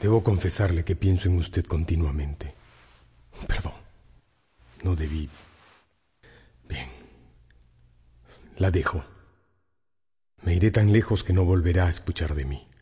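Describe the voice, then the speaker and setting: An actor playing an ardent, insistent seducer in a radio drama, pleading with courtly, theatrical flourish. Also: male voice, low voice, a little slow, pauses often, warm tone, breathy edge, big-room sound, full character voice. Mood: fervent confession. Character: ardent, insistent male seducer wooing a married woman.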